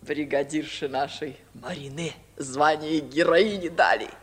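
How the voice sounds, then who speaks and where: A woman's voice: sits low, unhurried. An actress playing an old village grandmother who scolds, laments and dotes with fussy exclamations, in 1950s radio comedy style. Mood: sly, proud. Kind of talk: Soviet 1950s radio musical comedy acting. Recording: 1950s Soviet radio recording.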